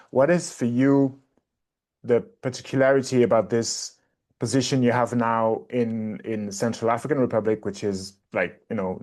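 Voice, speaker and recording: A man's voice: medium pitch, a little mellow. A man hosting a podcast interview, asking loosely phrased, friendly questions. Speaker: podcast host.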